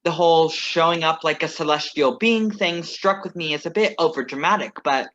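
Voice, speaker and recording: A man's voice: fairly high pitch, fairly bright tone, lightly acted. A man playing a wry, defiant ex-lover. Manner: measured, wry, earnest. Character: composed ex-lover crashing a wedding, wry and defiant. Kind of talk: staged play reading over video call. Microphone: video call. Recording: muffled video call audio.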